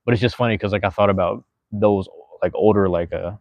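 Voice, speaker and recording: A man's voice: fairly low pitch, fairly even intonation, a little fast, no room sound, muffled recording. A man in his thirties chatting casually as a podcast guest, rambling and slangy, swearing freely. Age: 30s. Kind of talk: casual chat.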